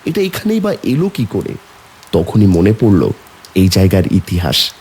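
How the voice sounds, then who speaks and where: A man's voice: sits low, huge pitch swings, unhurried, darkish tone, husky, heavily acted. A man narrating a horror story in the first person as a somber young man, brooding and suspenseful. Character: somber young man recounting an eerie burial.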